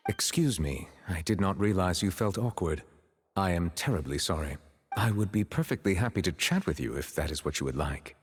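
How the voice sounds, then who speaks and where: A man's voice: low voice, expressive, normal speed. A man voicing a composed, formal male official in a video game who speaks precisely and politely. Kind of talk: video game cutscene dialogue. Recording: reverby game audio with faint noise.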